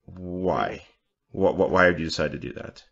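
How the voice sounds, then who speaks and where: Male podcast host, very low voice, casual and conversational, halting delivery with repeated words. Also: creaky, fairly bright tone, lo-fi sound.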